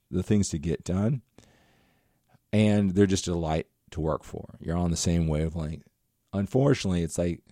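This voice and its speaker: A middle-aged man with a very low, gravelly voice talks straight to his viewers. His tone is dark and mellow, and he rambles earnestly through a motivational monologue. The sound is dry and close, with no room echo. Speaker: motivational vlogger.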